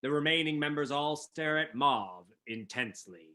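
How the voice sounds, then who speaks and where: A man's voice: fairly high pitch, lively intonation, moderate pace, pushed and bright. A man reading scripted narration and stage directions for a dramatic reading.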